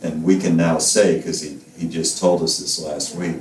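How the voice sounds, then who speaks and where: A man's voice: very deep voice, fairly even intonation, moderate pace, darkish tone, a little hiss or hum. A middle-aged man, a missionary, speaking steadily and affirmingly in a casual panel conversation. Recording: roomy, mic at a distance.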